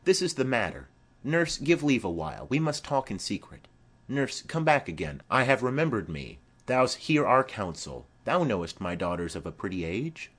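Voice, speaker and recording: A man's voice: medium pitch, sing-song, moderate pace, fairly bright tone. A man voicing a formal, insistent noblewoman mother in a Shakespeare play reading. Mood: businesslike. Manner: formal, imperative.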